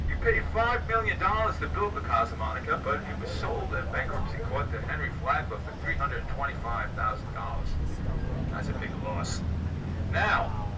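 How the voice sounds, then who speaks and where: Hoarse man giving a trolley tour. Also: medium pitch. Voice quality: raspy. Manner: chatty, joking, addresses riders as folks. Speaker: trolley tour guide and driver. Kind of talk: live guided tour commentary over a PA. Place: moving trolley on city streets. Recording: distant voice over loud vehicle noise. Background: trolley engine and street noise.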